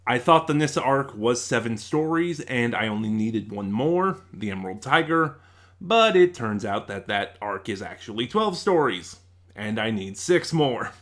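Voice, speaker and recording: A man's voice: mid-range voice, lively intonation, normal speed, a bit bright. A man reviewing in a casual, unscripted way, often rephrasing and searching for words. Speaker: YouTube reviewer.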